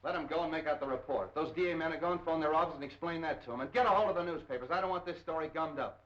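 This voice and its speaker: An actor playing a tough, harried, self-assured police detective, brusque and slangy, in 1930s film style. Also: male voice, on the high side, very fast, a bit bright, natural voice. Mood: brisk, annoyed. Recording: dull, lo-fi 1930s film soundtrack.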